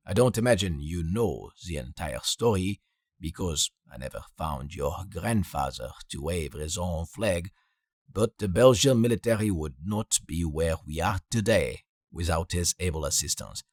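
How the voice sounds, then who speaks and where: A man voicing a stiff, formal senior military officer in an audiobook, speaking in a measured way. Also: deep voice, animated, darkish tone, no room sound, studio quality. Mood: formal, respectful.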